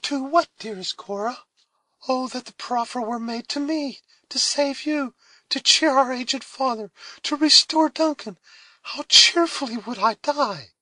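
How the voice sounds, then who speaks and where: A man's voice: very high-pitched, a little slow, bright, forceful tone, no room sound, pitched above the performer's own voice, heavily acted. Man doing a trembling frightened young girl. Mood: eager. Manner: tremulous, eager.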